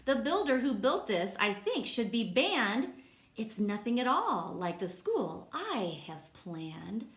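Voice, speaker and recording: An imaginative young boy, voiced by a woman reading a storybook aloud, chants his dream-school rhymes in a high, swooping, sing-song voice. The delivery is slow and bouncy. It is heard in a room with a noticeable echo. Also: very animated. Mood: excited. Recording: echoey room.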